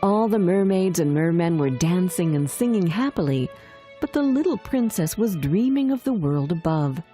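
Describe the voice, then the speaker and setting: A woman's voice: lowish voice, expressive, normal speed, mellow, soft background music. A woman narrator reading a scripted children's fairy tale in a storytelling style.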